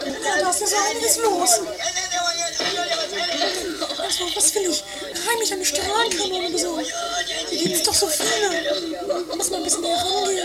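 A performer voicing a shrill, vengeful, scheming woman who mutters theatrically to herself in an improvised trashy comedy radio play. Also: normal speed, pauses often, over a noisy crowd, low-quality recording. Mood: sneaky, mocking.

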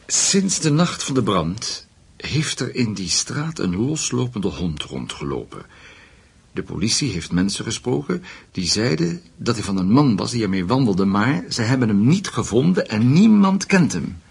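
Husky, deliberate German investigator. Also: male voice, fairly low pitch, big pitch swings, exaggerated voice. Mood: firm, reasoning. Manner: deliberate, formal. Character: measured, discreet senior German male investigator, loyal and firm. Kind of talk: Dutch radio play dialogue.

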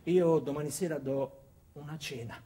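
A man voicing a weary, subdued male client who complains haltingly on the phone in a comic stage monologue. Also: on the high side, dark tone, slightly rough, voice pushed down. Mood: gloomy.

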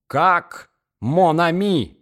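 A man voicing an indignant, wounded young gentleman in a dramatic reading of a story, with exclamatory, emphatic speech. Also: mid-range voice, expressive, normal speed, natural voice. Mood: enraged, scornful. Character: enraged, wounded young gentleman, rejected suitor.

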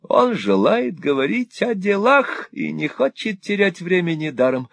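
A sly old moneylender with a high, cackling voice, all wheedling flattery and gushing cries. The sound is dry and close, with no room around it. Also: male voice, measured pace, vocal fry, dry recording, exaggerated voice. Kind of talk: audiobook reading.

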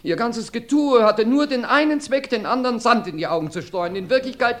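An actor playing a crippled, desperate man under cross-examination in a radio drama, halting and pleading. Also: extremely high pitch, a bit bright. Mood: defensive. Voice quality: halting, pleading, breaks into sobs. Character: George Fenwick, crippled, cowering, desperate brother. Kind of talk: radio play acting.

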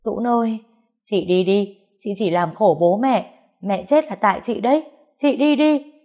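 A woman's voice: medium pitch, measured pace, warm tone, pitched above the performer's own voice, lightly acted. A woman voicing a young girl who wails and blames her older sister. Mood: wailing. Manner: wailing, accusing. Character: angry grieving younger sister, a child.

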